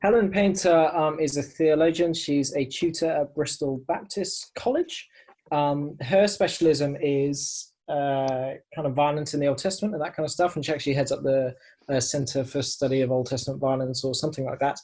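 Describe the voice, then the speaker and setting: A man's voice: a little high, fairly even intonation, moderate pace, darkish tone. A man co-hosting a podcast, talking casually and conversationally. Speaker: podcast co-host.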